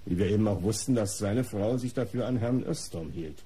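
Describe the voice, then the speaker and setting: An art patron in a radio crime play, defensive and disdainful under questioning. His voice is lowish, dark and very raspy. He justifies himself in formal, articulate sentences. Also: brisk. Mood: dry, disdainful. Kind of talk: German radio crime drama acting.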